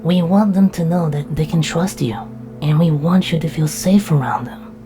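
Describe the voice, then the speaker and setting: A woman's voice: low-pitched, moderate pace, music in the background, natural voice. A woman playing a sleepy, protective young knight who talks in a drowsy, affectionate way. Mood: tired. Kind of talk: casual roleplay dialogue.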